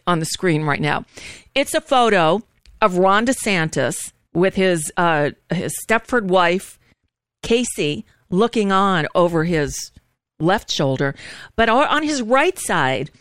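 A woman's voice: mid-range voice, smooth, no echo, studio quality. A woman hosting a live show with informal political commentary, speaking in a blunt, sardonic, rambling way. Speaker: talk show host.

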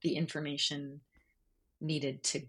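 A woman with a low voice and a husky edge speaks as a guest in an interview. She explains her work in a conversational, thoughtful way, often searching for the right word as she goes. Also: flat delivery, a little slow, a bit bright. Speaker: journalist guest. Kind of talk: conversational interview, explaining their writing process.

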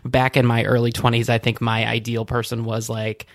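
A man in his early thirties co-hosts a casual podcast, trading quick quips and laughing easily. His voice is mid-pitched and recorded very dry and close. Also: monotone, no echo. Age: early 30s. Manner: quippy, laughing, candid storyteller. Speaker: podcast co-host. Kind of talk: casual chat.

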